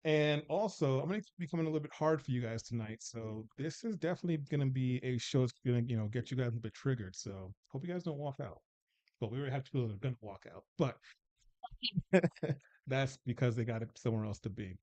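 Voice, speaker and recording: A man's voice: medium pitch, animated, fast talker, darkish tone. Forty-something guy hosting a dating panel, teasing, chill middle voice. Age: 40s. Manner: joking, teasing, loose and rambling. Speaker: host. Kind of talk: casual panel talk show.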